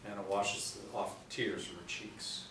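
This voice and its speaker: Low-voiced male narrator, very echoey room. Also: level tone, measured pace, a bit bright. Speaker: stage-direction reader. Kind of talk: scripted narration. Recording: very echoey room, distant mic, faint noise.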